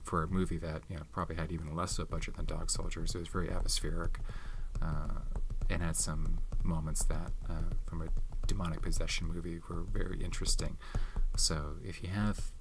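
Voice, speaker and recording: A soft-spoken man chatting casually in an ASMR style, hesitant, rambling and unhurried. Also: deep voice, little pitch movement, noisy background. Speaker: video host showing movie collection. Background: tapping and handling of movie cases.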